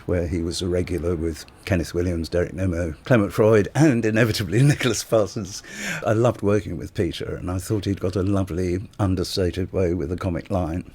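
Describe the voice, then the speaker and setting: An older man with an English accent and a husky voice, a radio producer reminiscing in an interview. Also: low-pitched, darkish tone.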